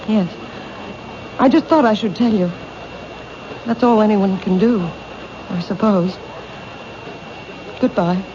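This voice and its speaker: A woman's voice: mid-range voice, slow pace, long pauses, natural voice. An actress playing a timid, fearful young woman who owns a bookshop, speaking hesitantly and stammering in a 1970s radio drama. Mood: anxious. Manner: hesitant, soft-spoken, stammering. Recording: dull old radio broadcast, noisy.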